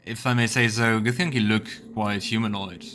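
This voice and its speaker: A man's voice: fairly low pitch, fairly even intonation, normal speed, a bit bright, slight background noise. A man hosting a let's play, commenting casually and reading dialogue choices aloud.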